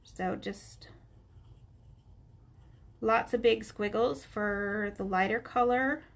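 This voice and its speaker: A woman's voice: mid-range voice, slow pace, gravelly. A woman with a stuffy, nasal voice, chatting casually with her viewers by name as she teaches coloring on a live stream. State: stuffy nose, sounds nasal. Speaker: craft instructor on a live stream.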